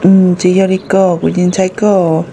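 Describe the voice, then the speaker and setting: A man voicing an excitable adult man in a folk-tale cartoon, exclaiming often. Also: high voice, normal speed, creaky, a little hiss or hum. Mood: lively. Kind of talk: cartoon voice acting. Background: music.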